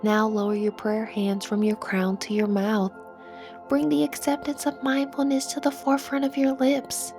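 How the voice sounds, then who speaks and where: A woman leading a guided meditation in a soothing manner, with phrases that trail off into a breathy near-whisper. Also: a little high, monotone, normal speed, darkish tone. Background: music.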